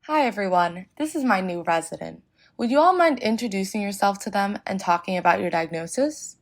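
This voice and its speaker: A woman's voice: medium pitch, lively intonation, brisk, natural voice. A teenage girl voicing a female doctor who addresses her patients, reading her lines plainly. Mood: friendly.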